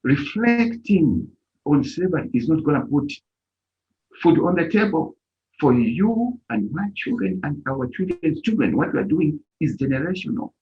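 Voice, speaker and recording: A man's voice: fairly high pitch, very animated, normal speed. Middle aged zambian guy giving a fired up speech, voice jumping all over, kinda muffled lo-fi audio. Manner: emphatic, rising to near-shouts on key points. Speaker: organization leader giving a speech. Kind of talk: impassioned speech at a live-streamed event. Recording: dull lo-fi stream audio.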